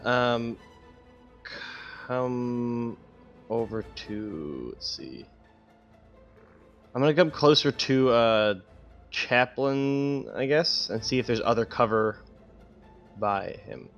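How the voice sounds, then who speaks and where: A man's voice: mid-range voice, slow, long pauses. A man playing a tabletop game, thinking aloud hesitantly in casual table chat. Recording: slightly dull audio over music.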